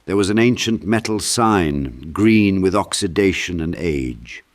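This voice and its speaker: Deep-voiced male audiobook narrator. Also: animated, a little slow. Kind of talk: dramatic scripted narration of dark fantasy.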